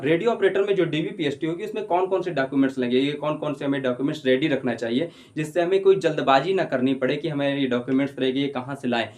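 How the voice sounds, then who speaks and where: Casting: young man, mid-pitched voice, informal video host explaining updates, repetitive and reassuring. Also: darkish tone. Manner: repetitive, reassuring, addresses listeners as brothers.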